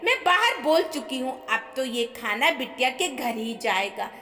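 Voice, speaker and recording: A woman's voice: high voice, animated, unhurried, bright, forceful tone, full character voice. A woman storyteller voicing a bossy, stingy old mother-in-law who taunts and commands in a family storybook tale. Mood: harsh scolding. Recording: long reverberant echo on voice.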